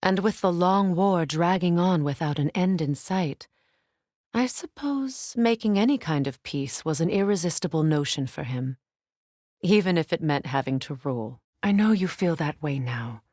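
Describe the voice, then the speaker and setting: A woman's voice: on the low side, lively intonation, moderate pace, darkish tone, dry recording. A warrior goddess from a fantasy video game, grave and composed. Her lines are formal and earnest, delivered as dramatic dialogue in a close, dry recording. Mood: measured, serious. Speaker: video game character voice actress.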